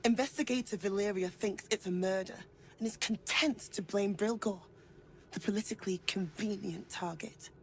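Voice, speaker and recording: A woman's voice: mid-range voice, normal speed, slight background noise, natural voice. A woman playing an earnest, troubled temple priestess in a game, speaking with insistence. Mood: bitter concern. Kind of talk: dramatic game voice acting.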